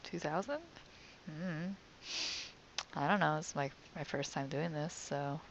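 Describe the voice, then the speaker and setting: Low, gravelly young woman streaming. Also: slow pace, muffled recording, slight background noise. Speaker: video game streamer. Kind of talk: casual let's play commentary.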